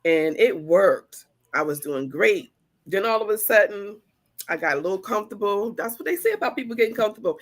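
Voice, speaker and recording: A woman hosts a podcast in a casual, chatty way. Her voice sounds a little congested from the flu, and she talks with animated energy. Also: medium pitch, brisk. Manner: chatty, animated storytelling with laughs. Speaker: podcast host.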